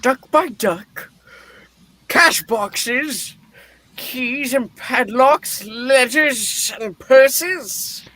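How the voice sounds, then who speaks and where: Old miser for radio play, male reader: grumpy, spooked, blustering, very slow and drawn-out. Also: very high voice, lively intonation, pushed and bright, exaggerated voice. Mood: nervous. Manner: stammering, blustering, exclaiming. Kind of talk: live play reading, hammy radio-play acting.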